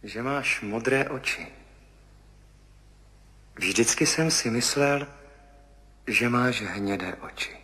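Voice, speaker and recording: An actor in a 1970s radio play voicing a dazed, frightened pilot who reports in short, halting phrases. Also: male voice, medium pitch, expressive, a little hiss or hum. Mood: wondering. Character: dazed, frightened male pilot drifting between memory and death. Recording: 1970s radio drama, loud music behind.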